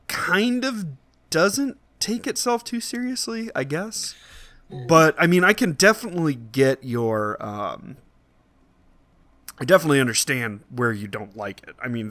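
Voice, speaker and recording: A man's voice: mid-range voice, fairly bright tone. A man co-hosting a casual podcast, conversational and thinking aloud as he goes. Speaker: podcast co-host.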